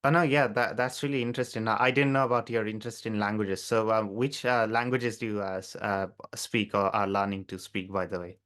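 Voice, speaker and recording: A man's voice: fairly low pitch, level tone, brisk, hardly any pauses, a bit bright. A man interviewing a guest, asking questions hesitantly with many fillers. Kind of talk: casual interview.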